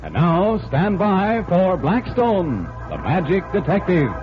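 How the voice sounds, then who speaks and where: A man's voice: high voice, sing-song, takes it slowly. A man announcing in a dramatic 1940s radio style, theatrical and showmanlike. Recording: dull 1940s radio recording. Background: loud music.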